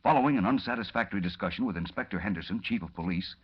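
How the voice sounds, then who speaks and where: A man's voice: lowish voice, very fast, slightly rough. A man narrating a 1940s radio serial in a grave, theatrical, suspenseful style. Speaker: announcer-narrator. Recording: dull old radio recording.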